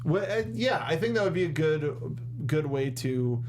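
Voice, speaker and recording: A young man co-hosting a show in casual chat, jokey and a bit halting, talking close to a studio mic. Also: a little high, expressive, darkish tone, faint music behind. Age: young adult. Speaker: co-host of a movie discussion show. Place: small indoor podcast studio. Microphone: close desk microphone with red foam cover.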